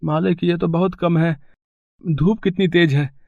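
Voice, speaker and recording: A man's voice: fairly high pitch, fairly even intonation, measured pace, gravelly, darkish tone, no echo. A man voicing a meek, pleading poor labourer and father in a cartoon moral story, in a muffled recording. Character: poor, humble, weary male labourer and father. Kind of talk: animated moral story voice acting.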